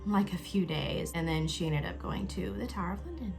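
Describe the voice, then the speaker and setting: A young woman hosting a casual vlog, chatting in an upbeat way while doing her makeup, sometimes dropping to a soft whisper. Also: lowish voice, expressive, fairly quick, vocal fry. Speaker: YouTube host.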